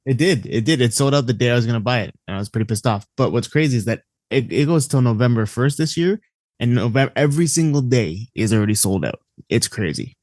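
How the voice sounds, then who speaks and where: A man's voice: mid-range voice, animated, fast talker, a little mellow, no echo, studio quality. A man co-hosting a casual podcast, talking eagerly and bluntly and cursing casually. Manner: enthusiastic, blunt, swears casually. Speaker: podcast co-host.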